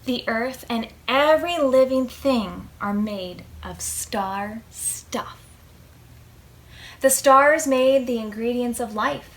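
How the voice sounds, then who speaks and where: A woman with a fairly high voice hosts a children's storytime, reading a picture book at a very unhurried pace. She is expressive and stretches out words for small listeners. Some background noise sits under the recording. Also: low-quality recording. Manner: expressive, with questions to young listeners and drawn-out words. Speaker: librarian storytime host. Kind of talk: animated picture-book read-aloud for preschoolers.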